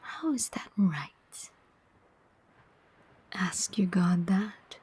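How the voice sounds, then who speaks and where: Slow whispering demon soul collector, acted by a woman. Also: fairly low pitch, expressive, a little mellow, husky, full character voice. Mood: indignant, challenging. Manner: slow, deliberate, coaxing whisper with pointed questions. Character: persuasive, sardonic demon collector of souls. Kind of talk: whispered ASMR roleplay.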